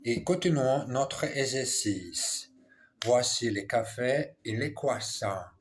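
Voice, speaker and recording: A man practicing French on a phone camera, repeating and correcting himself as he reads dialogue lines aloud. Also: medium pitch. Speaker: language learner. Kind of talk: casual language practice vlog.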